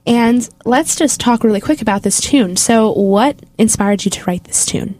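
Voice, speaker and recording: A woman's voice: on the high side, moderate pace, a little mellow. A woman hosting a college radio show, asking questions in a friendly, upbeat, loosely phrased way. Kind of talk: casual radio interview.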